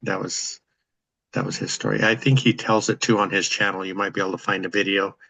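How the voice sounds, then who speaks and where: A man retells a story in a steady, unhurried way, with the odd "um" and "like." His voice is low and quite flat in pitch. The audio is dry and close. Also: gravelly, dry recording, rough recording. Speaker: member of an accountability group. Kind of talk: casual video call chat. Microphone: video call.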